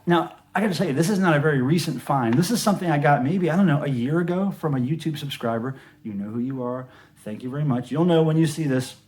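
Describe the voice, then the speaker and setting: A man with a moderately high-pitched voice hosts a casual collector's vlog. He talks in an offhand, rambling, conversational way, like someone showing items to fellow hobbyists. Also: quick pace, a little mellow. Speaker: record collector host. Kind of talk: casual show-and-tell vlog about records.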